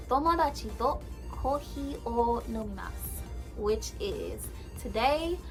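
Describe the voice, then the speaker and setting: A woman's voice: fairly high pitch, a bit bright. A young woman vlogging casually about learning a language, chatty, with many fillers and emphatic repeats. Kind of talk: casual talk to camera.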